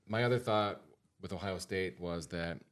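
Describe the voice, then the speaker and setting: American guy podcast host, deep voice, casual chat, repeats stuff to drive it home. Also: moderate pace. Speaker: podcast host and financial advisor.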